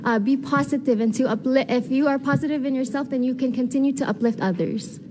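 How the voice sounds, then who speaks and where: A young woman with a Filipino accent answering pageant interview questions in an earnest, deliberate way, slipping in Tagalog phrases. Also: high-pitched. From Philippines. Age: young adult. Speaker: beauty pageant contestant. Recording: clearly noisy room ambience.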